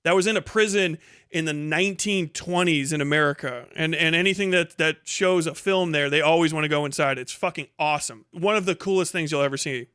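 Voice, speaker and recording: A man's voice: a little high, fairly quick, sharp tone, smooth, dry recording, studio quality. A man hosting a livestream, giving rambling, emphatic and sweary opinionated commentary in casual speech. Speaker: livestream host.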